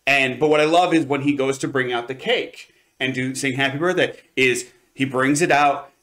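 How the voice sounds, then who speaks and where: A man's voice: mid-range voice, normal speed, fairly bright tone. A man co-hosting a review show, chatting casually and enthusiastically in a hedging, conversational way. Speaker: podcast co-host reviewing anime.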